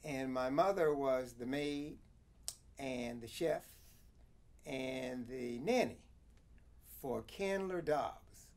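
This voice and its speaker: An American man in his seventies recounting personal history in an interview, with deliberate, measured and formal phrasing. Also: mid-range voice, a little mellow. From Connecticut, USA. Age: 70s. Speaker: interviewee, former attorney.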